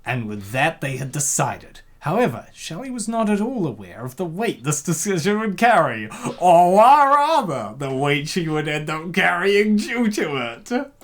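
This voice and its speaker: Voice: mid-range voice, sing-song, measured pace, hardly any pauses. A co-host narrating a comic dub and reacting casually, with an ironic, teasing manner.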